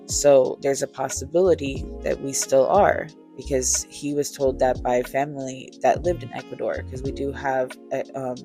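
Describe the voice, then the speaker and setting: A woman in her thirties chatting casually and relaxed, with easy fillers and light laughter, telling a storytime while beading. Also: very deep voice, normal speed, hardly any pauses. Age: 30s. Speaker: crafter and vlogger. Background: faint chill music.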